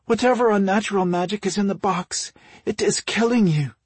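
Man putting on a high, gentle, pleading queen. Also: a little fast, slightly rough, no room sound. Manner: gentle, imploring. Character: gentle, pleading queen, a worried loving wife. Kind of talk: fantasy audiobook character voice.

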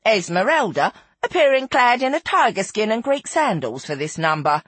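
A narrator plays a flamboyant showgirl telling her story with relish. Her voice lifts high and swings widely, a little husky at the edges. She is gossipy, theatrical and mock-genteel, a storybook woman of the world. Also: sing-song, moderate pace, a bit bright, no echo.

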